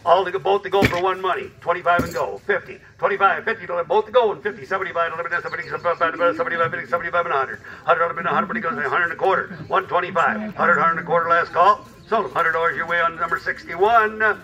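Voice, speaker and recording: A man's voice: fairly high pitch, fast talker, few pauses, highs cut off. A man working as an auctioneer, calling bids in a clipped, repetitive chant at a busy auction. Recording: echoey, distant, loud crowd.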